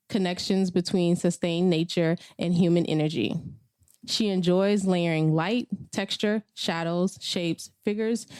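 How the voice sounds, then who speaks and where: A woman's voice: lowish voice, darkish tone, clean tone, no echo, studio quality. A woman hosting a podcast, reading a guest introduction and then chatting casually and laughing with her guest. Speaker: podcast host.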